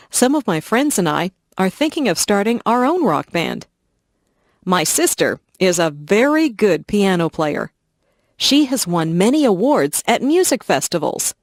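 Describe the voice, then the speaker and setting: A woman slowly and clearly reading simple first-person stories for English learners. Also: lowish voice, no echo, studio quality. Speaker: reader of English listening stories. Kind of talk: slow scripted reading for learners.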